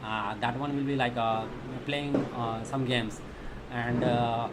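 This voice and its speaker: Halting Indian man streaming, noisy background. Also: mid-range voice, level tone. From Magadha region of India. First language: Thethi. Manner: halting, repetitive, searching for English words. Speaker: live coding streamer. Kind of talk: casual livestream chat.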